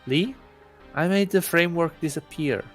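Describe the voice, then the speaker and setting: A man's voice: fairly high pitch, normal speed, a bit bright, faint music behind, voice pushed up, close to an everyday voice. A man voicing an aloof, deluded god posing as a student in a visual novel, musing in a self-important, awkwardly earnest way. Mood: coaxing. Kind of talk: first-person visual novel narration.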